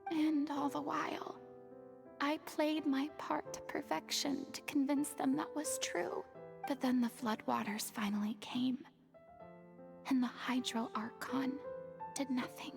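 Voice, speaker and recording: A remorseful young woman in a video game, soft and wistful, who often drops into a half-whisper. Her voice is high, with gravelly edges, and faint music plays beneath it. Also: steady tone, measured pace. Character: remorseful young woman, former goddess pretender.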